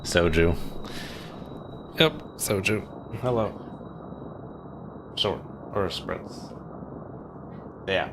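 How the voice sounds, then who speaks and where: A man's voice: deep voice, very slow, pauses often, background noise. A young man from Maryland casually chatting with viewers while playing a game, swearing lightly and laughing often. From Maryland, USA. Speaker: streamer.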